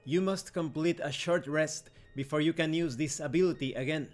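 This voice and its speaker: A man presenting an explanatory game review as host, in a measured, orderly, instructional way. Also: on the high side. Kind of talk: explanatory review narration, reading rules. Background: background music.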